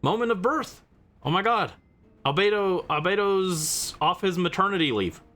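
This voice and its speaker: A man's voice: high-pitched, expressive, moderate pace, a bit bright. A man giving casual, playful, theatrical reactions as he livestreams a game.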